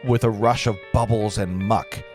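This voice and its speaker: Low-voiced man narrating over guitar music. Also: fairly even intonation, moderate pace. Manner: stumbles over the script. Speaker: narrator reading stage directions. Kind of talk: scripted narration at a table read. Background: clear guitar music.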